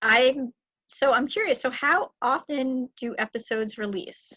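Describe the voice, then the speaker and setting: A woman's voice: sits high, measured pace, fairly bright tone, dull audio. A woman hosting a podcast interview, asking casual questions of a guest.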